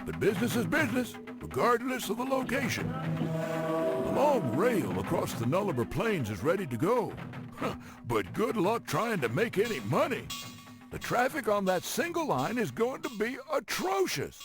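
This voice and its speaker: A wry, folksy railroad narrator for a video game. His high-pitched male voice swings wildly up and down as he teases and dramatizes each briefing, with music clearly playing behind him. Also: very animated, moderate pace. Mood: sly, coaxing. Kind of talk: theatrical scripted mission briefing narration.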